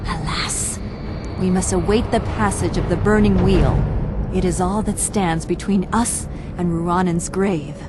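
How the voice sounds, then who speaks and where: A woman voicing a proud, vengeful dark elf princess in a fantasy game cutscene, haughty, formal and commanding. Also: lowish voice, steady tone, unhurried, background noise, lightly acted. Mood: triumphant, defiant. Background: loud music.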